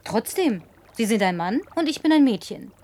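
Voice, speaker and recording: A woman's voice: on the high side, very animated, normal speed, a little mellow. An actress dubbing a spoiled, lonely young city woman who talks in a playful, coaxing, teasing way. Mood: pleading. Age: young adult. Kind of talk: German film dub.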